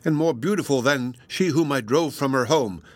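A man voicing an anguished, stunned middle-aged gentleman in dramatic, broken exclamations for an audiobook. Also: mid-range voice, moderate pace, no room sound, voice pushed up, lightly acted. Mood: stunned, remorseful.

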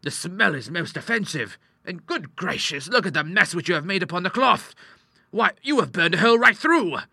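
Man voicing a genial, fussy father, bright and forceful. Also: mid-range voice, expressive, brisk, dry recording, heavily acted. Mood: vexed, dry. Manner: proper, courteous, exclamatory when vexed. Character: genial, fussy middle-aged doctor and father, easily ruffled. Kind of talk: audiobook character voice.

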